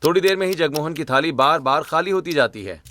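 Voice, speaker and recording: A man's voice: a little high, lively intonation, brisk, pushed and bright, soft background music. A man narrating a scripted cartoon story.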